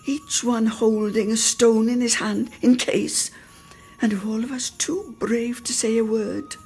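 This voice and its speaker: A woman giving an expressive, theatrical dramatic reading of a literary story for radio. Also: on the high side, vocal fry. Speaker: dramatic reader.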